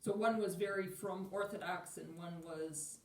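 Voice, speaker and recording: A woman's voice: fairly low pitch, steady tone, moderate pace, a little mellow, far from the mic. A woman interviewing an older man, pressing him with follow-up questions. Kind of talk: oral history interview questions. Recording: roomy, from farther off the mic.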